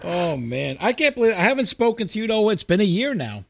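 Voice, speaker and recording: A man chatting casually as a musician guest on a podcast, telling things in an animated, sweary, informal way. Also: high voice, brisk, gravelly, dry recording, clean studio sound. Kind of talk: casual chat.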